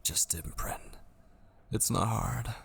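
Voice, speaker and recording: Man acting a slow, gravelly obsessive kidnapper. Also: fairly low pitch, big pitch swings, a bit bright, heavily acted. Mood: coaxing, threatening. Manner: slow, coaxing, slipping often into breathy whispers. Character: obsessive possessive male kidnapper, seductive, menacing and pleading. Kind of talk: ASMR audio roleplay acting.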